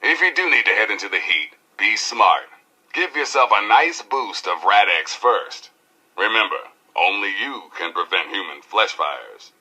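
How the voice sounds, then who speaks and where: A loud brash american radio dj, super bright punchy voice, swoops all over, slangy and preachy, post-apocalypse game. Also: male voice, fairly low pitch, lively intonation, measured pace, breathy edge, full character voice, low-quality recording. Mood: showy, upbeat. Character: brash, rebellious male wasteland radio DJ. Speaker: radio DJ and host. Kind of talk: high-energy radio DJ patter.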